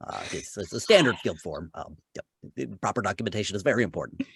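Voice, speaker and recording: A man's voice: fairly low pitch, animated, fast, creaky, a bit bright, husky, no room sound, exaggerated voice. A man voicing a pedantic, hesitant science nerd who stutters and repeats his words in a tabletop game. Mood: officious. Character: fussy, stammering male fringe researcher, bureaucratic science nerd.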